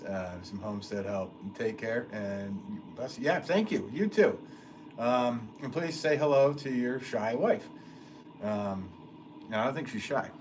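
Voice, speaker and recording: A man's voice: mid-range voice, background noise. A man potter hosting a casual livestream from his studio, rambling through viewer comments with lots of ums and easy laughs. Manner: rambling, chatty, laughs often, many ums.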